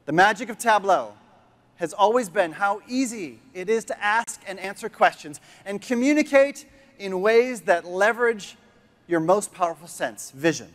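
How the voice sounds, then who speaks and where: A man's voice: sits high, expressive, moderate pace. Upbeat man hosting a keynote. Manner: enthusiastic, rallying the crowd. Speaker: conference keynote host. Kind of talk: energetic keynote presentation. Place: large conference stage.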